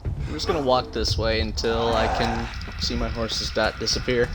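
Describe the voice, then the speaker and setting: A man's voice: medium pitch, steady tone, normal speed. A man giving casual speedrun commentary, sarcastic and grumbling, with some swearing. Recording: very noisy, game sounds behind.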